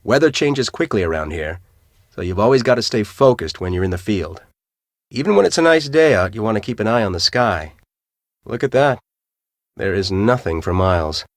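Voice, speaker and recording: A man's voice: lowish voice, lively intonation, moderate pace, no echo. Curt, impatient man, close and dry. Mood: matter-of-fact. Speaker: video game voice actor. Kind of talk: scripted game dialogue.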